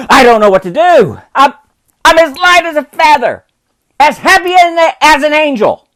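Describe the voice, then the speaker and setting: A man's voice: sits high, sing-song, normal speed, pitched above the performer's own voice. A man voicing an elderly miser who is overjoyed, giddy and cackling as he exclaims.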